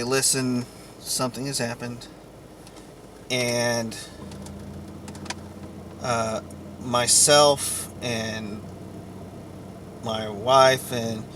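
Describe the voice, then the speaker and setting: A man giving a rambling personal account as a vlogger, halting between short phrases. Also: mid-range voice, background noise.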